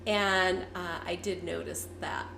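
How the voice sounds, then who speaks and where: A fifty-year-old woman, hoarse and congested from a cold, chatting candidly to her viewers. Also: on the low side, slow pace, bright, forceful tone, roomy sound, a little hiss or hum. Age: 50s. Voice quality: raspy. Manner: candid, chatty, with frequent fillers. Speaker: vlogger. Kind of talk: casual talk to camera.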